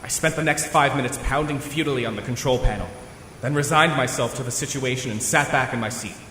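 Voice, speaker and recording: A man's voice: mid-range voice, quick pace, a bit bright, lightly acted. A man playing an earnest, troubled young superhero team leader, commanding and melodramatic, in a fan audio drama. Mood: grim recounting. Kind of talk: fan audio drama acting with first-person narration. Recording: long reverb, faint background noise.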